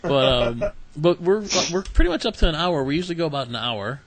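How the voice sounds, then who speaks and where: A man's voice: fairly high pitch, lively intonation. A man hosting a podcast, talking in a loose, rambling way and laughing easily. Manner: chatty, rambling, quick laughs. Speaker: podcast host. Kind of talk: casual chat.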